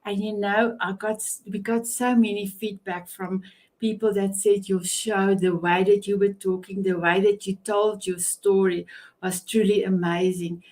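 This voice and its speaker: A woman's voice: medium pitch, level tone, measured pace, a little mellow, low-quality recording. A woman with a South African accent and Afrikaans as her first language, hosting an online panel conversation in an effusive, complimentary way. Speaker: host of an online talk show.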